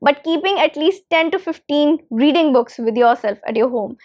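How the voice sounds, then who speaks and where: A woman's voice: high voice, moderate pace, a little mellow, dull audio. A woman whose first language is Hindi, giving an English lesson with emphatic repetition of key phrases. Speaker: English teacher. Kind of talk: instructional talk to camera.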